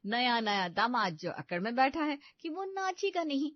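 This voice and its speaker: Casting: female comedy-story narrator, higher-pitched, dry and slightly muffled. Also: sing-song, moderate pace, dry recording. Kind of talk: scripted comic story narration. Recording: dull, lo-fi studio voice-over.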